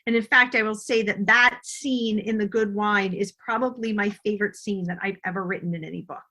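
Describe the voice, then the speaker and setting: A woman's voice: medium pitch, fairly even intonation, a little fast, bright, forceful tone. A middle-aged woman talking conversationally as a guest in an interview. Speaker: podcast guest, novelist.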